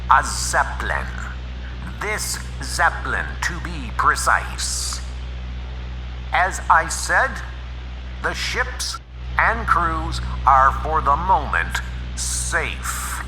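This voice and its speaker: A man's voice: a little high, sharp tone, heavily acted. A man playing a pompous, theatrical villain airship commander, delivering a mock-polite, menacing ultimatum in a comic dub. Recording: long hall reverb, music underneath.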